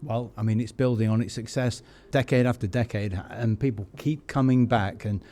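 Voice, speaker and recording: A man's voice: mid-range voice, steady tone, moderate pace, dark tone. A man interviewing guests backstage at an awards event in a congratulatory, encouraging way. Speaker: interviewer, podcast host.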